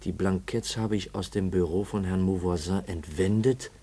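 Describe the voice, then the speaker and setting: Earnest young man, lowish voice. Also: normal speed, dark tone, slightly rough. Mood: intent. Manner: earnest, direct, urgent questioning. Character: earnest young man, anxious heir seeking the truth. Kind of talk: 1950s German radio play acting.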